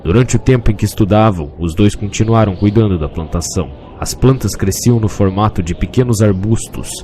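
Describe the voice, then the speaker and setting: A man's voice: lowish voice, fairly quick, warm tone, slight background noise. A man with a Brazilian accent narrating a scripted fantasy story.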